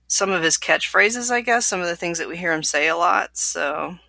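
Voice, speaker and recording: A woman's voice: lowish voice, lively intonation, normal speed, vocal fry, sharp tone, no echo. A woman co-hosting a podcast, explaining her reasoning in a casual book discussion. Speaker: podcast co-host.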